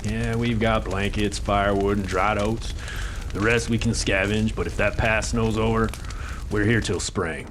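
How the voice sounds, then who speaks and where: An actor playing a gruff, blunt male traveler who talks in terse, folksy phrases. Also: on the low side, fairly even intonation, unhurried, noisy background, natural voice. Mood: urgent. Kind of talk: audio drama acting.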